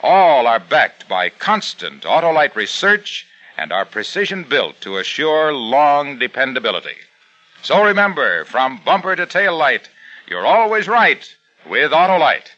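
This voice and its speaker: Bright, lively male radio pitchman. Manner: brisk, emphatic pitchman delivery. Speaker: radio sponsor announcer. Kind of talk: 1950s radio commercial reading. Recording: dull old radio broadcast with faint music.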